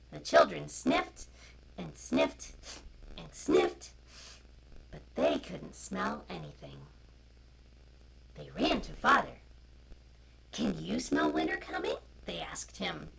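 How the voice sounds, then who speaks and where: Female storyteller, very deep and wide-swinging in pitch, for informal picture-book reading over noisy streamed sound. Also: creaky, pushed and bright. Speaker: storyteller, co-host.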